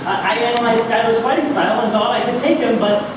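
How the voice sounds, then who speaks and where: A woman's voice: fairly high pitch, fast talker, lightly acted. A woman playing a brainy, self-assured teenage boy with a wry, casual manner in a staged script reading. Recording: noisy, echoey room from far off.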